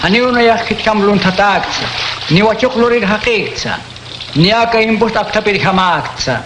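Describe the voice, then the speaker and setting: High-voiced man in a radio drama. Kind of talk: radio drama narration and acting. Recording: echoey room with noise.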